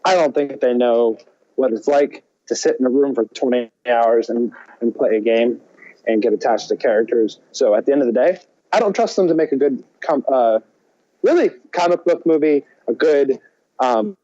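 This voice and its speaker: A man with a mellow, relaxed voice rambles through his opinions in casual podcast talk. The recording is a little dull and muffled. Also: mid-range voice, moderate pace, dry recording. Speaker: podcast co-host. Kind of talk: casual chat.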